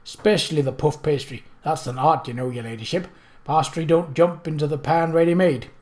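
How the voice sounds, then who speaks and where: A flustered Victorian household cook in a storybook, acted out by a man. She is high-pitched and hoarse, with rustic, deferential servant's speech and an indignant temper. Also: fairly quick, a little mellow, natural voice. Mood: bitter. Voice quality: hoarse rasp. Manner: rustic servant's dropped words and deferential address.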